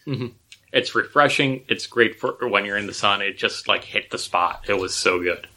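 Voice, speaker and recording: A man with a bright, forceful voice hosts a casual podcast chat. He talks enthusiastically, rambling a bit and restarting his sentences. His voice sits a little low. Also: normal speed. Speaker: podcast host.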